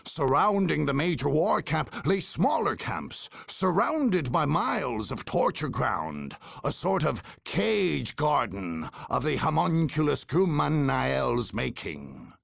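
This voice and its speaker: An actor playing a grave male chronicler, narrating a grim war saga for a video game in a solemn, theatrical, gravelly, husky voice. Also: a little high, sing-song, slow pace, hardly any pauses, fairly bright tone, no echo, exaggerated voice. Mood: solemn, dramatic. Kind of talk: dramatic scripted game narration. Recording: dry studio voice over music.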